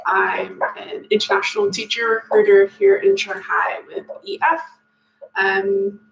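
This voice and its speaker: A woman's voice: medium pitch, vocal fry. A young woman from Maine hosting a casual live Q&A, chatty and upbeat with lots of ums. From Maine, USA. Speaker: teacher recruiter hosting a live Q&A. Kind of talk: casual livestream chat answering comments. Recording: echoey room.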